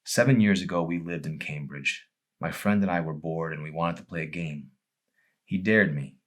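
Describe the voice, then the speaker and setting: Man playing a deep-voiced guilt-ridden young man. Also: normal speed, lightly acted. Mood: remorseful.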